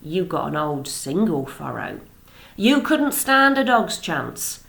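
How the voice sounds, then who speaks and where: A boastful male farmer, cocky and dismissive, as a woman reads him aloud. He scoffs at his rival with loud, lively swings of tone. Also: low-pitched, takes it slowly, creaky, full character voice. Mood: scoffing.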